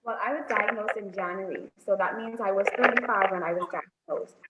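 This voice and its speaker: A woman, speaking softly and hesitantly as a guest on a video call. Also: medium pitch, fairly quick, background noise. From Bahamas. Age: 30s. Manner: soft-spoken, hesitant with frequent ums. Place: indoors, small room. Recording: roomy, dull phone audio.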